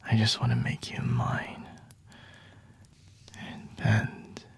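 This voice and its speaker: A man playing a flirty, teasing, predatory vampire in an ASMR roleplay, with a breathy, husky whisper and intimate delivery. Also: takes it slowly, lightly acted. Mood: intimate, possessive.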